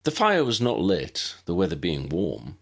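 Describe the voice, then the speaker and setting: Low, creaky, very expressive male storyteller. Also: unhurried. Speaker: audiobook narrator. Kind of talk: scripted fiction narration.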